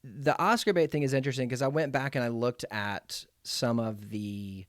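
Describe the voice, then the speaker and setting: A man in his late thirties hosting a podcast, chatting casually in a wordy, halting way with frequent laughs. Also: medium pitch, dry recording, studio quality. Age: 30s. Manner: wordy, halting, laughs often, self-deprecating. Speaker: podcast host. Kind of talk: casual conversational podcast chat.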